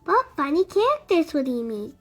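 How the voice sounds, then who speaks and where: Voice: sits high, very animated, moderate pace, fairly bright tone, soft background music. A storybook reader narrating a picture book expressively for young children.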